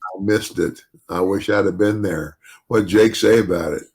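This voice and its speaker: Older male podcast host, deep bass voice with gravel, rambling and restarting mid-thought. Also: steady tone, normal speed, darkish tone. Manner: rambling with many restarts and "you know". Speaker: podcast host, retired wrestler. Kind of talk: casual podcast chat.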